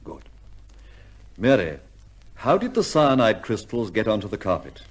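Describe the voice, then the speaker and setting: Animated, assured gentleman investigator. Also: fairly low pitch, slightly rough, full character voice. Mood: gently probing. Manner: measured questioning, wry, commanding. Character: shrewd, assured upper-class gentleman investigator, fatherly. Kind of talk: old-time radio drama acting.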